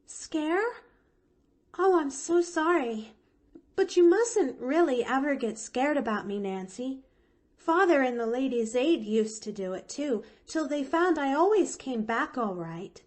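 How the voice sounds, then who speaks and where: A woman's voice: high-pitched, lively intonation, measured pace, a little mellow, voice pushed up. A woman voicing a cheerful, innocent little girl in a storybook, with an eager, earnest, gushing manner. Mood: apologetic, reassuring.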